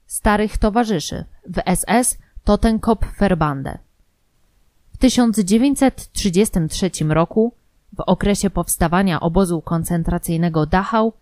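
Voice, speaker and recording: Mellow woman narrator. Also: fairly low pitch, no echo. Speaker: narrator of a true-crime history audio programme. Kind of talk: scripted narration.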